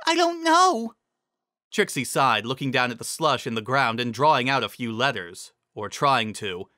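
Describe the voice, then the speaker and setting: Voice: medium pitch, pushed and bright, dry recording, clean studio sound. A narrator giving a dramatic scripted reading of a fantasy story. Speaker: narrator reading fan fiction.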